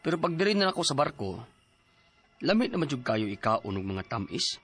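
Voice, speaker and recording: A man's voice: medium pitch, big pitch swings, moderate pace, fairly bright tone, husky, faint music behind. Sighing Cebuano man in his forties. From Philippines. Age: 40s. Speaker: narrator and letter writer. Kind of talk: radio drama narration.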